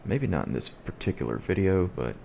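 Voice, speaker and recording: A man with an extremely deep, gravelly voice speaks softly and low, holding back so as not to wake the house. He talks through gameplay in a relaxed, hushed way, with clear hiss and noise underneath. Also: brisk. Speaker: let's play host. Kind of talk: gameplay commentary. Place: at home early in the morning. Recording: noisy background hiss.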